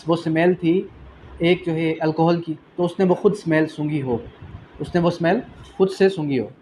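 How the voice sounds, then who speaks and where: Higher-voiced Pakistani man teaching law. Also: darkish tone. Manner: didactic, asks and answers own questions, repeats key phrases; switches into English for key terms. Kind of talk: exam revision lecture.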